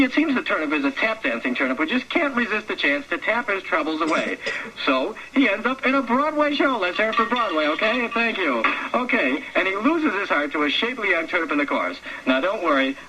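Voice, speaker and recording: A man's voice: high voice, sing-song, a little fast, sharp tone, voice pushed up, heavily acted. A man playing a gushing, defensive film critic in a comic radio movie review, rambling and cutting in with little exclamations. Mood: gleeful. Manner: effusive, rambling, self-interrupting with little exclamations. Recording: faintly noisy 1979 radio broadcast recording.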